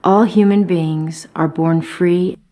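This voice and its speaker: A woman reading a formal statement slowly and clearly, as a model for repeating. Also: on the low side, fairly even intonation, mellow.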